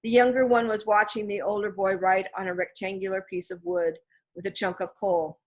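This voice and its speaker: A woman reading a children's storybook aloud as an audiobook narrator, with expressive scripted delivery. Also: mid-range voice, moderate pace. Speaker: audiobook reader.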